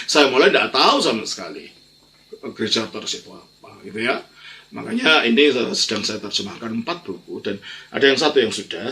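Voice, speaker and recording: An older man with a gravelly, sharp-edged voice explains matters of faith like a priest answering questions. He speaks informally and emphatically, restating key phrases to drive them home. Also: medium pitch. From Indonesia. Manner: emphatic, repeats phrases, explanatory with colloquial tags. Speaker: Orthodox priest and teacher.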